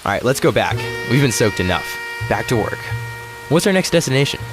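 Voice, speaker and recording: A man's voice: medium pitch, sing-song, fairly quick, natural voice. An actor playing an earnest, literal-minded male astronaut in an audio sci-fi drama, hesitant and full of puzzled questions. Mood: bemused, practical. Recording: music behind the voice.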